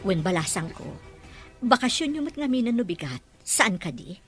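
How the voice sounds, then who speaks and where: A woman's voice: mid-range voice, sing-song, normal speed, husky, soft background music. A woman with an Ilocano Filipino accent playing an anxious, loving middle-aged mother in an audio drama. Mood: tender, hopeful. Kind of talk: radio-drama dialogue.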